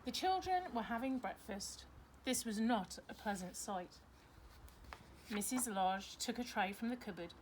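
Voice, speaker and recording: A woman with a fairly high, very animated voice reads a children's storybook as a teacher would to a class. Her delivery is expressive, on a home recording with slight background noise. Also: unhurried, poor audio. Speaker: teacher reading to pupils.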